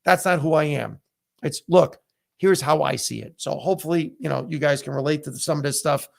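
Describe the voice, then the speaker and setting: Middle-aged male host, slightly high voice with a gravelly edge, casual and candid, lots of um's. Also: fairly quick, a little mellow. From United States. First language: Italian. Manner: conversational, frequent fillers, candid opinions. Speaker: comedian and podcast host. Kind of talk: casual live-stream chat.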